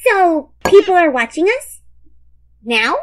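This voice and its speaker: Tiny squeaky indignant cartoon creature. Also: female voice, very animated, takes it slowly, full character voice. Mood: surprised, grudging. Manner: exclamatory, scolding.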